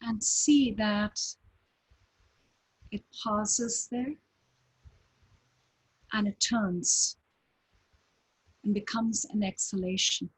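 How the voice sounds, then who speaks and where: A woman leading a guided breathing meditation, giving slow, calm, gentle instructions with long pauses. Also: mid-range voice, breathy edge, poor audio. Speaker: meditation teacher.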